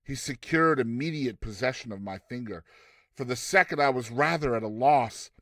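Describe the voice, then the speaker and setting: A man reading a classic story aloud as a theatrical, expressive narrator with deliberate delivery. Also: mid-range voice, no room sound. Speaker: narrator, reader.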